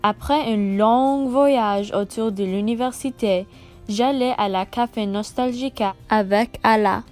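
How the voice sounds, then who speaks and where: A woman's voice: a little high, unhurried, darkish tone, soft background music. A young woman narrating a school-project video tour in a careful, read-aloud manner. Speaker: student presenting a school project. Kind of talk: scripted voice-over narration.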